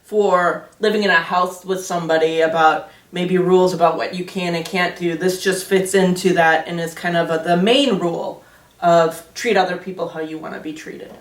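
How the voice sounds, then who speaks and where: A woman's voice: fairly low pitch, steady tone, normal speed. A woman hosting a children's Sunday school storytime, explaining things simply in a teacherly way with rhetorical questions. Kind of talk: explaining simply to children, with Bible reading and interviewing. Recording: roomy echo, distant from microphone.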